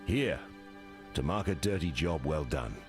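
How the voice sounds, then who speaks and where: An actor playing a gruff, blunt, hardened male scout in a video game, speaking in clipped, salty phrases. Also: extremely low pitch, expressive, a little slow, over music. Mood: wry approval.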